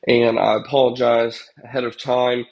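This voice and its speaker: A man's voice: medium pitch, flat delivery, moderate pace, lo-fi sound. A young man with a stuffed-up, congested voice hosts a casual livestream, rambling with lots of fillers and chatting to viewers. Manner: rambling, filler-heavy, addresses chat viewers. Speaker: livestream host, pest control business owner.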